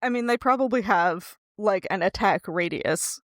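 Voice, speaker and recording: A woman's voice: mid-range voice, normal speed, no room sound, studio quality. A woman co-hosting a podcast in a casual book chat, reasoning things out in a wry, sweary way. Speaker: podcast co-host.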